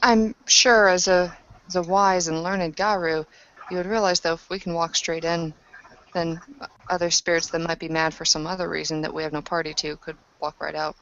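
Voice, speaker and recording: Woman, lower pitch, conversational tabletop roleplay, muffled lo-fi recording. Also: flat delivery, normal speed, fairly bright tone, slight background noise. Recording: muffled, dull lo-fi audio.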